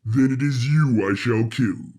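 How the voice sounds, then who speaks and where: Robot villain male actor: mid-pitched, very measured, imperious, coldly menacing, formal audio drama lines. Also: dark tone, close to an everyday voice. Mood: vengeful. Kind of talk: scripted audio drama acting.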